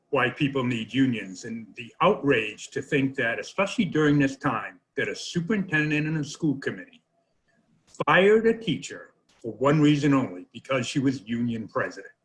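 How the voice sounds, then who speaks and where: A man's voice: mid-range voice, animated, normal speed, fairly bright tone, low-quality recording. Indignant man on a union show. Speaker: union leader co-hosting a show. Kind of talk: casual talk show commentary.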